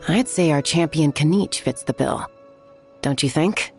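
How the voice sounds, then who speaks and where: Composed female warrior, low voice, approving, game dialogue, faint music. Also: a little slow.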